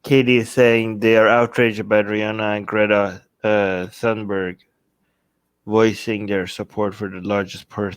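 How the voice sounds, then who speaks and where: A man from Iran co-hosting a political talk show, speaking casually and haltingly, repeating phrases to make his point. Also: on the low side, little pitch movement, smooth, no echo. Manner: halting, searching for words, repeats phrases for emphasis. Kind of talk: casual, opinionated discussion.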